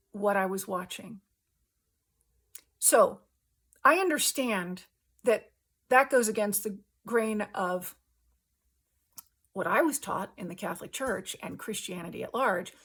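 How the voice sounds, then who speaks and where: A woman with a husky voice delivers a personal spiritual monologue. She takes her time, breaking thoughts into short, emphatic phrases with halting pauses, and keeps the wording casual and earnest. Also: medium pitch, lively intonation, fairly bright tone. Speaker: host sharing a personal spiritual testimony.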